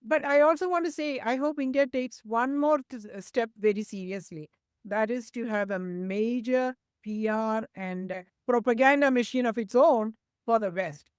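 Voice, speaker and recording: Indignant woman co-host, higher voice. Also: normal speed, clean tone, no echo, studio quality. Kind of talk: discussion.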